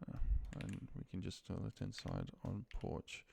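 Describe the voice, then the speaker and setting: Low, creaky-voiced man giving a casual walkthrough. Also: very animated, a little slow, a little mellow, no echo. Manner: informal, muttering to himself while working. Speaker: instructor demonstrating a spreadsheet task. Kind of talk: casual screen-share tutorial, unscripted.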